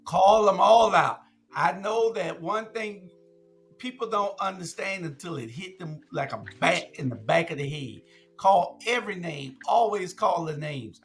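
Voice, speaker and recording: A man's voice: high-pitched, lively intonation, unhurried, soft background music. A man co-hosting a casual sports chat, talking bluntly and emphatically. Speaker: co-host.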